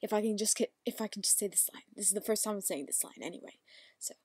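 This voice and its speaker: A woman's voice: mid-range voice, quick pace, a little mellow, breathy edge, no echo, studio quality. A woman reading amateur voice acting lines and breaking off into wry, self-correcting asides. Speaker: amateur voice actor. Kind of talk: script reads broken by casual asides.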